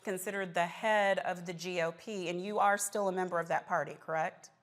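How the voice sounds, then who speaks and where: A woman's voice: on the low side, steady tone, brisk. A woman hosting a political news show, speaking in a direct, pressing manner as a broadcast interviewer.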